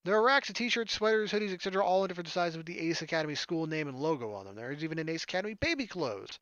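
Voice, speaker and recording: Fast-talking man with a slightly high voice. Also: animated, fairly bright tone, no echo, dull audio. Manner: joking, offhand asides. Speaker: let's player reading a visual novel aloud. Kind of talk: gaming commentary mixed with reading narration aloud. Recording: music under the voice. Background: game soundtrack music.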